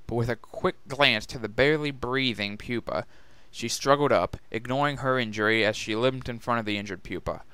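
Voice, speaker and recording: A man's voice: fairly low pitch, fairly bright tone, clear, resonant tone, no echo. A man narrating a scripted story as a dramatic reading. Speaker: narrator and reader.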